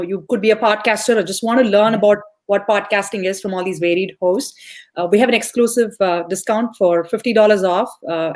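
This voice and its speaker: Casting: young woman podcast host, upbeat and chatty, mid-pitched voice, lots of fillers, over a video call. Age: young adult. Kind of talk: casual podcast interview.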